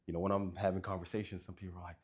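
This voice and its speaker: A man hosting a casual interview, laid-back, repeating words and filling pauses with fillers. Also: sits low, level tone, a little mellow, husky. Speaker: interview host.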